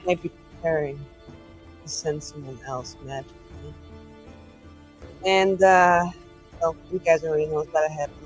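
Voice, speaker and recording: A woman's voice: sits low, steady tone, moderate pace, long pauses, faint music behind. A woman playing a tabletop roleplaying game, improvising a casual message in a chatty, informal, rambling way.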